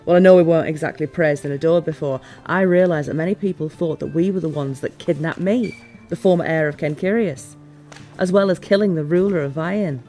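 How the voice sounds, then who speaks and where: A woman playing a teasing, playful fantasy ruler in a scripted audio roleplay, with formal, expressive phrasing. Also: sits low, a little fast, soft background music. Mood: reflective, candid.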